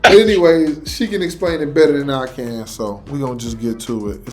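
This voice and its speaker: A man's voice: medium pitch, brisk, darkish tone. A man chatting casually in a slangy, conversational way as host of a reaction show.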